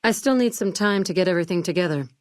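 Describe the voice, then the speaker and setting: Woman acting a ruthless crime-family businesswoman, video game dialogue, low pitch, curt, condescending, dry close recording. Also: lively intonation, quick pace, no echo, lightly acted. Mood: businesslike.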